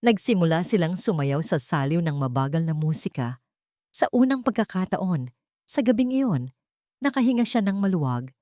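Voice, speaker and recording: A woman's voice: fairly low pitch, lively intonation, moderate pace, mellow, no room sound, clean studio sound. A woman reading scripted dramatic narration of a romance story as a storyteller.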